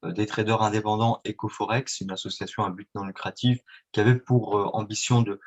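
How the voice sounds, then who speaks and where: Hesitant low-voiced man, lo-fi sound. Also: level tone, fairly quick. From France and Italy. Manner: hesitant, many fillers and restarts. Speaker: guest, independent trader. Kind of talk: interview answers. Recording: dull lo-fi sound.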